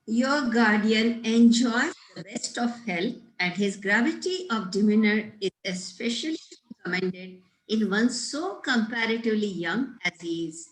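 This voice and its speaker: A woman acts out a prim older governess in a Victorian stage comedy. Her voice is fairly high and sounds in a roomy, echoey space. She speaks formally and pompously, always instructing and gently scolding. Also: expressive, unhurried, hardly any pauses. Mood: stern, instructive. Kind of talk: play table read, Victorian comedy. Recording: roomy, echoey home recording.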